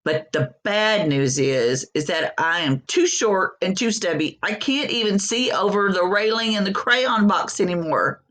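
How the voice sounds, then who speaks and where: A woman's voice: medium pitch, expressive, measured pace, few pauses, fairly bright tone. A woman voicing a weary, stubby little crayon in a storybook, politely complaining and tired.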